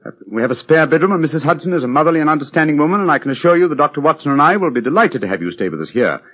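An actor in an old radio drama playing a commanding gentleman detective, British, middle-aged, with a crisp, declamatory delivery. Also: fairly high pitch, expressive, very fast. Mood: assured, explanatory. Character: brilliant, commanding gentleman detective, coolly grave and theatrical. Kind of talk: 1940s radio drama acting. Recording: muffled, lo-fi old radio recording.

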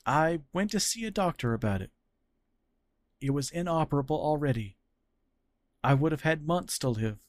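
A man putting on a tender young lover with a noticeably higher, lifted voice, earnest and pleading. He talks with open emotion, and the sound is close and dry with no room around it. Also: lively intonation, moderate pace, long pauses, dry recording, natural voice. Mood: tearful tender. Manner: earnest, emotional, raised pitch.

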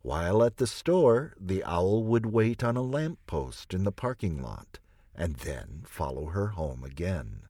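A male narrator reads nonfiction from a script for an audiobook. His voice sits very deep and moves through a lively range of intonation. The sound is dry, with no room around it. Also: dry recording.

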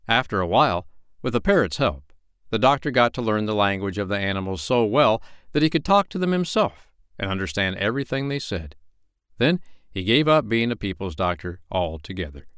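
A man's voice: lowish voice, a bit bright, clear, resonant tone, dry recording, studio quality. A man reading a children's story aloud as a scripted audiobook narrator.

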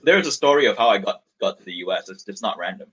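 A man's voice: on the low side, lively intonation, fast talker, fairly bright tone, husky, muffled recording. A man in his thirties from Malaysia, a startup founder being interviewed, speaking frankly and rambling a little as he tells his story. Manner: frank, rambling, repeats words while thinking. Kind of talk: candid conversational storytelling.

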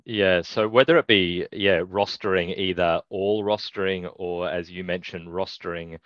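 A man speaking as a guest in a casual business chat, explaining his points in a measured, steady way. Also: low voice, no room sound. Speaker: guest, recruitment business owner. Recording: dull dry mic.

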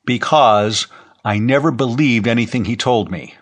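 A man's voice: mid-range voice, normal speed, natural voice. A man voicing a defiant young man in an audiobook, defending himself bluntly and insistently. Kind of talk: audiobook character voice.

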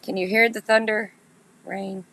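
Raspy, congested woman chatting. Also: medium pitch, little pitch movement, a little slow, bright, forceful tone, poor audio. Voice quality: raspy, gritty. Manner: chatty, laughs at herself, talks straight to listeners. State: congested, nasal. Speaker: podcast host. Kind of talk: casual live chat with listeners.

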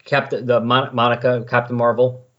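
A man with a slightly husky voice in casual, rambling, enthusiastic conversation as a podcast host. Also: medium pitch, level tone, moderate pace. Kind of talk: casual chat about comic books. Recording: dull, lo-fi recording.

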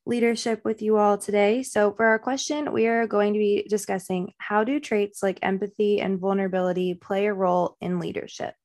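A woman hosting a podcast, a little raspy from a cold, introducing the episode in an upbeat way. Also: mid-range voice, steady tone, a little fast, hardly any pauses, a little mellow. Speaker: podcast host.

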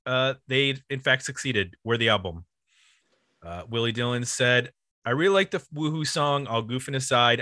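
A man's voice: mid-range voice, sharp tone, no room sound, clean studio sound. A man hosting a music podcast, talking informally and reading out comments in a casual, dryly joking way. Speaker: podcast host.

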